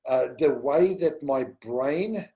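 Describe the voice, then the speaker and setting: A middle-aged man with a South African accent, first language Afrikaans, giving a gentle, pastoral webinar talk. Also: a little high, expressive, takes it slowly. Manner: gentle and pastoral, addresses listeners as "my friend". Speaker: webinar presenter. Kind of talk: slow, earnest teaching talk.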